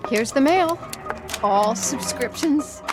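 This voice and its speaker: A wary newspaper staffer in a radio drama. Her voice is somewhat high and lively, full of skepticism. Music is clearly heard behind her. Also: takes it slowly.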